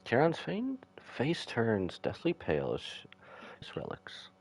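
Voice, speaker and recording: A man's voice: medium pitch, wildly swooping pitch, takes it slowly. A man live-streaming a game, reading its text aloud and dropping in his own asides.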